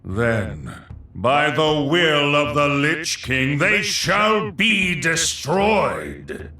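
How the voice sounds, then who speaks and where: A man's voice: a little high, big pitch swings, drawn-out words, sharp tone, recorded from a distance, soft background music, exaggerated voice. A man voicing a pompous, sardonic undead archlich sorcerer for a video game, with theatrical menace, dry asides and a hollow echo. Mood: menacing. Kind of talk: video game character voice lines.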